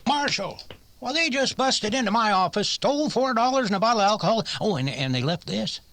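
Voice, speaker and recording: A man's voice: high-pitched, expressive, fairly quick, fairly bright tone, slight background noise. A man playing a flustered, fussy town doctor in an old-time radio western, stammering and rambling. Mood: agitated.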